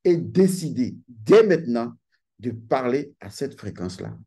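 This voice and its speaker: A man's voice: medium pitch, very animated, a little slow, vocal fry, warm tone, husky. A man giving an insistent, lecturing monologue, stressing and repeating his key points. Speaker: channel host giving a talk.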